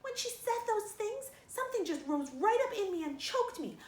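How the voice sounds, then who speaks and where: A woman's voice: very high-pitched, very animated, normal speed, voice pushed up. A woman reading a storybook, voicing a hot-tempered, wounded, defiant young orphan girl who protests in a wailing, dramatic way. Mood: wailing, hurt. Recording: roomy sound with faint noise.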